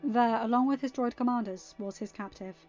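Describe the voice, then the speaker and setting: A woman reading a fan fiction story aloud as an amateur narrator, in an expressive scripted style. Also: on the high side, brisk. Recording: dull, band-limited audio with background music. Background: quiet music.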